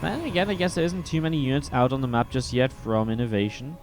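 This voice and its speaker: A man's voice: medium pitch, quick pace, soft background music. Sniffly man casting a live game. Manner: steady, builds excitement in fights. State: sniffly with a slight cold. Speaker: esports caster. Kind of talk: solo play-by-play commentary.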